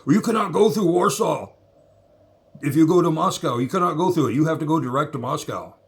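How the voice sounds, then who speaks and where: A man imitating a stiff male airline check-in agent with a fake Polish accent, slowly reciting rules. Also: a little high, creaky, pitched above the performer's own voice. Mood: officious. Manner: flat rule-reciting, slow and formal.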